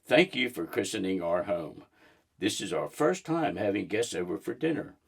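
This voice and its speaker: A man reading the part of a warm, proud mother in a children's storybook, speaking in a heartfelt way. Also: lowish voice, expressive, normal speed, vocal fry, breathy edge, full character voice. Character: warm, proud mother hosting family dinner. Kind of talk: storybook read-aloud.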